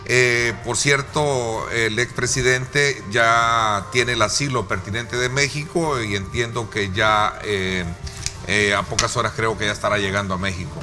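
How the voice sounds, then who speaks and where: A man with a Salvadoran accent hosting a political interview in a formal, wordy and halting manner. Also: lowish voice, fairly even intonation, over music. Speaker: talk show host.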